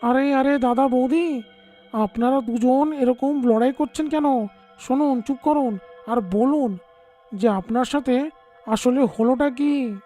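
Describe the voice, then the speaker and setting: A woman voicing an earnest, fussy village man in a cartoon, with drawn-out exclamatory speech. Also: sits high, dark tone, faint music behind. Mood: pleading. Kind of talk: cartoon character acting.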